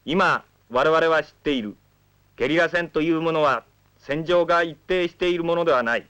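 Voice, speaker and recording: Higher-voiced man reading a formal political manifesto. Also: moderate pace, pushed and bright, clean tone. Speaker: documentary narrator.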